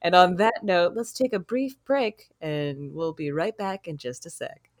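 A woman's voice: fairly low pitch, lively intonation, unhurried, no room sound, clean studio sound. A woman with a soothing voice, casually hosting a conversational podcast. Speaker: podcast co-host.

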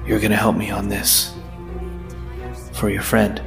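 An actor playing a grim masked vigilante detective in an audio drama, speaking in terse, clipped lines. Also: male voice, level tone, a little slow, vocal fry. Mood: terse, probing. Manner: low-key, terse, clipped questioning. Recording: loud electronic music behind the voice.

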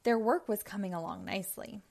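A woman reading a story aloud for young children in a deliberate storytelling style. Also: medium pitch, vocal fry, a little mellow.

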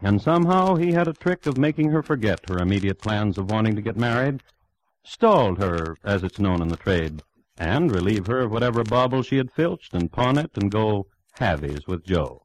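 An actor playing a droll, urbane crime-story host, narrating an old-time radio drama in a dry, wry manner. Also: male voice, lowish voice, very animated, moderate pace, poor audio.

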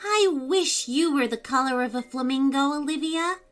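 A woman voicing an excited little girl in a children's story, eager and gushing. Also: high-pitched, normal speed, soft background music, voice pushed up. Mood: wistful.

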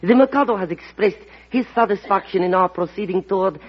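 High-voiced, courteous ambassador. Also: male voice, a little fast. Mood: courteous, deferential. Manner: polite, ceremonious. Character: courteous, formal Japanese ambassador, quietly triumphant. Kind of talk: old-time radio drama acting. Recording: dull, husky-sounding old radio recording.

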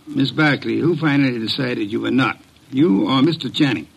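Gravelly, pompous, imperious detective. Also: male voice, medium pitch, moderate pace, rough recording. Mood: probing. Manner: pedantic, clipped, imperious. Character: pompous, brusque, irritable male genius detective. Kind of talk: classic radio drama acting.

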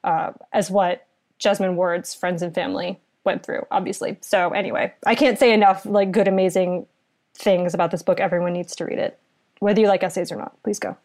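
A woman's voice: lowish voice, steady tone, fairly quick, darkish tone. Earnest young woman from Virginia. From southeastern Virginia, US. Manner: earnest, thoughtful, rambling with restarts. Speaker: book recommender. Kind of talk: casual chat.

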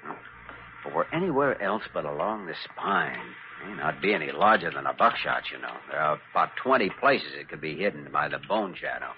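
An American actor playing an earnest, determined young hospital doctor in a classic radio drama. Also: male voice, very deep voice, sing-song, moderate pace, soft background music, full character voice. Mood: grave, focused. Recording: dull, noisy old radio recording.

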